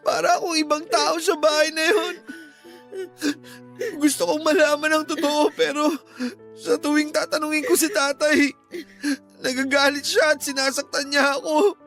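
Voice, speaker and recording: High-voiced, wounded young son. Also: male voice, fairly bright tone. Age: young adult. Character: bewildered, hurt young man, a long-lost son. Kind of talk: Filipino radio drama acting.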